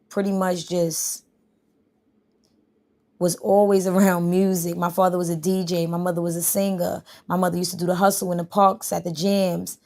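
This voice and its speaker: A woman rapper answering interview questions. Her voice is low, gravelly and fairly flat. She rambles loosely, full of fillers. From New York. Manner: rambling, relaxed, many fillers.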